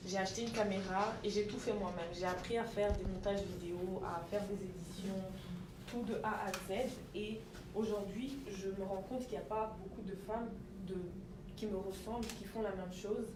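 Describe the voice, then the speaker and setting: Panel guest, 20s, Belgian French accent, earnest, fillers; distant echoey mic. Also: female voice, mid-range voice, steady tone, normal speed. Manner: earnest, self-correcting with many fillers. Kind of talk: panel discussion. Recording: echoey room from far off, noisy.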